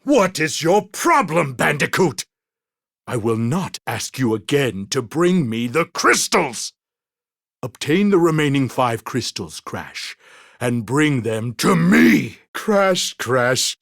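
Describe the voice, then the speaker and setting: Scheming video game villain, mad scientist, higher-pitched, pompous, exasperated, wheedling, very dry close recording. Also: male voice, sing-song, slow pace, gravelly, fairly bright tone, no room sound, heavily acted. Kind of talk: theatrical video game voice acting.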